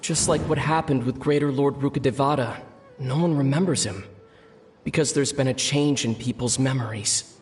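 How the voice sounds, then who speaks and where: A man's voice: mid-range voice, normal speed. Man streamer reading dialogue. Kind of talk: reading game dialogue aloud.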